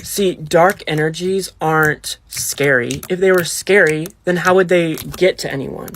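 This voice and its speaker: A man's voice: on the high side, measured pace, a bit bright, slight background noise. A man telling a personal story casually and emphatically, with conversational slang. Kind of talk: casual first-person storytelling for social video.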